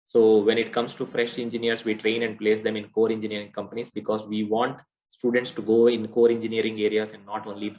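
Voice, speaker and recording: A man's voice: lowish voice, dull audio. A man with an Indian English accent giving an interactive lesson as a technology trainer, explaining step by step and often checking in with the listeners. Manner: explanatory, checks in with "right?" and "okay". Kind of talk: interactive classroom lecture.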